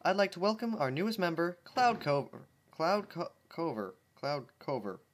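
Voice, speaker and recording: A man's voice: a little high, sing-song, normal speed, slight background noise, pitched above the performer's own voice. A man reading the lines of an earnest, bookish female pony in an explaining tone, close to his own voice. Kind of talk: reading a fan story aloud.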